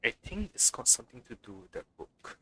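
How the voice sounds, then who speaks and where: A man's voice: medium pitch, sing-song, brisk, background noise. A man giving casual, playful live commentary as he streams a game, offhand in manner.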